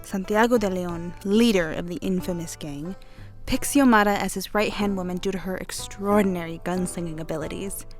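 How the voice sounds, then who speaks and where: An actress playing a songstress in a western radio play, telling her love story with measured, dramatic phrasing. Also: lowish voice, soft background music, natural voice. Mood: narrating, fond. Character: Aleta, beautiful songstress and devoted sweetheart narrating her western love story. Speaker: narrator and character. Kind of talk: western radio play narration and acted dialogue.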